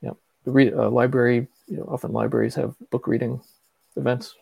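A man's voice: mid-range voice, flat delivery, a little fast, vocal fry, darkish tone, husky, no room sound. A man giving earnest, explanatory answers as a guest author in a conversational interview. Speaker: guest, children's book author.

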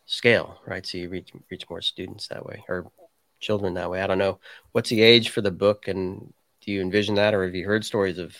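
A man's voice: low voice, a bit bright, no echo. A man co-hosting a casual interview, easygoing and rambling as he talks. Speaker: interview co-host.

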